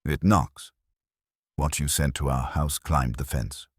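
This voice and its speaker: Wry male gumshoe, done by a narrator for a detective audiobook: clipped, deadpan, extremely low, husky. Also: slow pace, dry recording, clean studio sound, exaggerated voice. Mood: dry, challenging.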